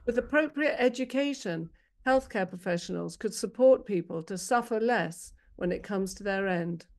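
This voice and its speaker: A woman doctor speaking as a panelist, reading a prepared talk aloud in a measured, articulate, formal way. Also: medium pitch.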